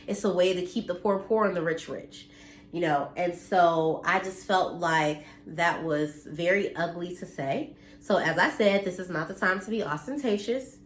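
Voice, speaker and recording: Vlogger, woman, 30s, a bit nasal and stuffed up, blunt and preachy, lowish voice, roomy echo. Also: moderate pace. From United States. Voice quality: slightly nasal, congested. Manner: earnest and blunt, mildly preachy, occasional cursing. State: congested from allergies. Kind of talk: casual direct-to-camera talk. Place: her home, indoors.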